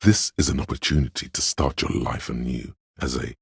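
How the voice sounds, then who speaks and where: An actor playing the grandiose, artsy inner voice of a detective's imagination in a video game, narrating in a dramatic, florid, deliberate way. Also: male voice, dry recording, studio quality, full character voice. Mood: pompous, musing. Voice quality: gravelly, husky bass. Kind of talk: theatrical, literary game narration.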